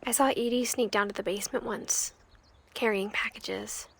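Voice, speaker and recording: A young woman with an American accent playing a pensive narrator in a video game, quietly recounting family secrets in a measured, reflective way. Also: mid-range voice, steady tone, creaky, a little hiss or hum.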